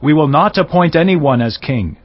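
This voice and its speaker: An actor playing a frightened, submissive city official in a dramatized Bible audiobook, anxious and deferential. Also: male voice, on the high side, expressive, moderate pace, no room sound. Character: fearful, submissive city official pleading servility. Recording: slightly dull, muffled audio.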